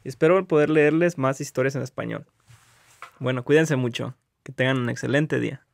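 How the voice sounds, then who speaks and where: A man's voice: medium pitch, brisk, gravelly, no room sound. Man addressing children as story reader. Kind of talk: friendly address to children, read-aloud. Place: small supply room with shelves, indoors.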